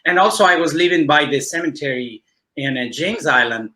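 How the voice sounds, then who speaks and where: Bright-voiced man. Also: a little high, animated. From Ecuador, raised in Cuba. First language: Spanish. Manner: earnest, halting with many fillers. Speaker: guest musician. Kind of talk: conversational interview answer.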